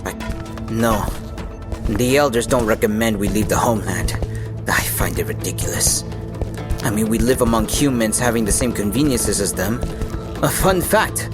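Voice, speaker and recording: A man's voice: medium pitch, normal speed, close to an everyday voice. A man acting in an audio drama as a young, casual and cocky fighter who narrates his own story in a wry way. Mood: wry, chatty. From Philippines. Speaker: voice actor narrating in character. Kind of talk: audio drama dialogue and first-person narration. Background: background music.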